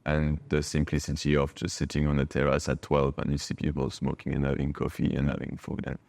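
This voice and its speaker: A man's voice: extremely low pitch, completely flat delivery, a little fast, vocal fry, a little mellow. A man from France with a French accent, a native French speaker, talking reflectively and emphatically as an interview guest. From France, a small village in Bordeaux. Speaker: podcast guest, wine bar owner.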